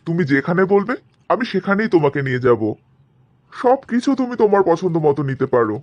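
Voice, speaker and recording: A man's voice: on the high side, very animated, a little fast, muffled recording. A man playing a devoted, flustered young office worker fiancé in a cartoon drama. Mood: flustered, eager.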